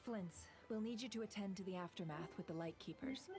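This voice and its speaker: A woman's voice: medium pitch, brisk, music in the background, natural voice. An actress playing a composed female officer who speaks in a level, matter-of-fact way. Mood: calm.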